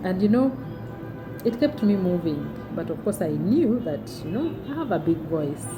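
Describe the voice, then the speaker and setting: A young adult woman with a big, deep voice tells a personal story to her phone camera in a chatty way with many fillers. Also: very animated, normal speed, over music. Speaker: vlogger. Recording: noticeable background noise.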